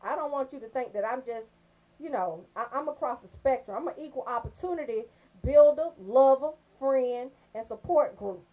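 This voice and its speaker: American lady doing a chatty radio show, high voice, slangy and jokey, talks right at listeners. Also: animated, normal speed, dark tone, rough recording. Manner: slangy, joking, bantering, addresses listeners directly.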